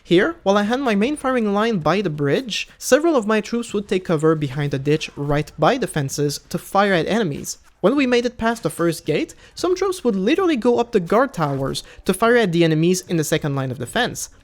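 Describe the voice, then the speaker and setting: Lively, mid-pitched game reviewer voice-over. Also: fairly quick, hardly any pauses. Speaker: gaming video creator and reviewer. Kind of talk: scripted review narration.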